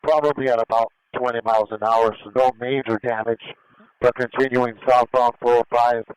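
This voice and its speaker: Guy calling a police pursuit over the radio, clipped and matter-of-fact, clear dry voice. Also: mid-range voice, level tone, normal speed, no room sound, low-quality recording. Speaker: police air unit observer calling a pursuit. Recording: police scanner radio transmission.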